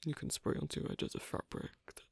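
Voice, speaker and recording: A performer voicing an asocial, reticent werewolf in an ASMR roleplay, speaking haltingly in a breathy, husky half-whisper. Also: no echo, clean studio sound, close to an everyday voice. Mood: quietly explaining. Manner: halting, hesitant, low-key. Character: asocial, reticent young werewolf on a sewing date.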